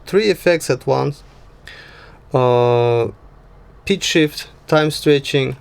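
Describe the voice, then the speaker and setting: A man narrating an unscripted software tutorial as the tutor, talking haltingly and often checking in with a short tag word. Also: medium pitch, vocal fry. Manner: halting, frequent "yeah" check-ins. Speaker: sound design tutor.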